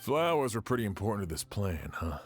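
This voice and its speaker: A man's voice: lowish voice, very animated, fairly quick, soft background music. A man playing a skeptical sheriff in a game, questioning and doubtful in manner. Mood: skeptical, puzzled. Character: skeptical adult male sheriff investigating a crime. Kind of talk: game dialogue acting.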